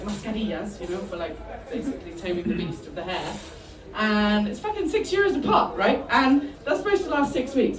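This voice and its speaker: A middle-aged woman from South Africa doing a stand-up set, bantering crudely and mocking herself in front of the crowd. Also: mid-range voice. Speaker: stand-up comedian. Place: comedy club with a live audience. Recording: roomy live club sound, mic at a distance, crowd noise.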